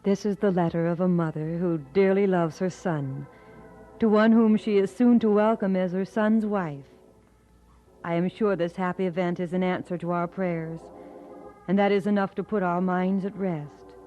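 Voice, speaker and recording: Actress as a gentle, devout older mother. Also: on the low side, normal speed, lightly acted. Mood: tender, devout. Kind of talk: old-time radio drama letter reading. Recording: dull vintage radio drama, faint music.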